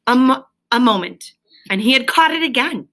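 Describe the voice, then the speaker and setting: Bright-voiced woman teacher reading aloud. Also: sits high, animated, normal speed. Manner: questioning, explanatory, emphatic. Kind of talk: reading a storybook aloud and explaining it to pupils.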